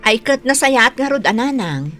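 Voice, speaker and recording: A bright-voiced, protective grown daughter. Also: female voice, high voice, big pitch swings, moderate pace, faint music behind. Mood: teasing. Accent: Ilocano. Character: indignant adult daughter, protective of her elderly parents. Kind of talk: Filipino radio drama acting.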